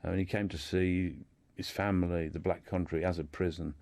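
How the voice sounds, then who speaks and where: A man speaking as a guest in a radio discussion, hesitant and halting, often repeating his words as he explains. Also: sits low, fairly even intonation, darkish tone, no room sound. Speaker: guest discussing a writer's life and books.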